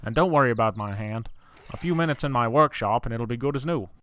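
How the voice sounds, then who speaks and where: A man's voice: medium pitch, fast, fairly bright tone, slight background noise, natural voice. A man playing an older, absent-minded professor in a horror audio drama, mild and matter-of-fact in manner. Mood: apologetic.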